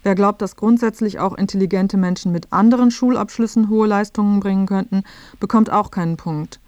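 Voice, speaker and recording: A woman plays a female radio presenter narrating a satirical report. Her voice sits at a middle pitch. The delivery is scripted, dry and matter-of-fact, in a very dry studio sound. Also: fairly even intonation, brisk, darkish tone, no echo, natural voice.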